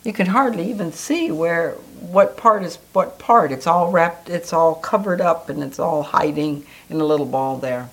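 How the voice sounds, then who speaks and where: A woman guiding a meditation in a soft, gentle, soothing way. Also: fairly low pitch, moderate pace, few pauses, slightly rough, poor audio. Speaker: meditation guide. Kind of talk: guided meditation.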